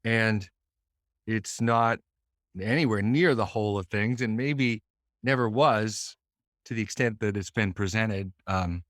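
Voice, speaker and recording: A man's voice: fairly low pitch, unhurried, fairly bright tone, dry recording, studio quality. Rambling, thoughtful man from Boston. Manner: thoughtful, meandering, many restarts. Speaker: musician guest. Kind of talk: casual interview chat.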